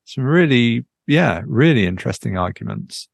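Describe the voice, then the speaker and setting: Gravelly-voiced man in casual chat. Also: medium pitch, sing-song, moderate pace, no room sound. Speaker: podcast co-host. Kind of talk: casual chat about films.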